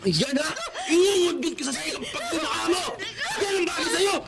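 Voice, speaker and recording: An actor playing a violent, jealous, abusive husband in Filipino radio melodrama, shouting accusations. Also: male voice, extremely high pitch, sing-song, moderate pace, loud music behind the voice. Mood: jealous rage.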